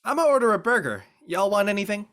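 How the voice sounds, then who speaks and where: A goofy, laid-back teenage boy athlete voiced by an actor in an audio drama. The pitch swings widely as he jokes in loose slang. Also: sing-song, quick pace, a bit bright. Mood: teasing. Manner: slangy, joking. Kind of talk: online dramatic table read.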